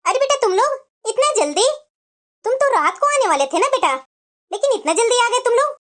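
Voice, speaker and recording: A woman's voice: extremely high pitch, sing-song, moderate pace, a bit bright, heavily acted. A woman voicing a bossy middle-aged mother-in-law in a cartoon family drama, who dotes on her own children. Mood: indulgent. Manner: indulgent to children, commanding to daughters-in-law.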